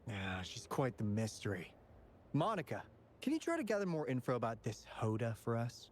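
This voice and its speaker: Guy voicing a brisk wary agent in a game, really animated pitch, ambient noise behind him. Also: natural voice. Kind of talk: video game voice acting. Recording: clear background ambience under game dialogue.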